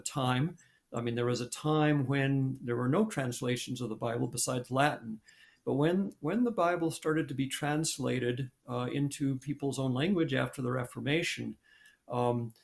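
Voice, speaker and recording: A man in his sixties, a scientist guest, answering at length over a video call, halting with many fillers and restarts. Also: mid-range voice, creaky. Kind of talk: thoughtful interview answer. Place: home room with posters.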